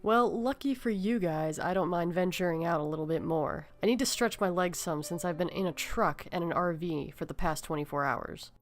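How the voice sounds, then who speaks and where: A woman's voice: lowish voice, moderate pace, hardly any pauses, close to an everyday voice. A woman playing a tough, wry young army veteran in an audio story. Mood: game, wry. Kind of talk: audio drama dialogue.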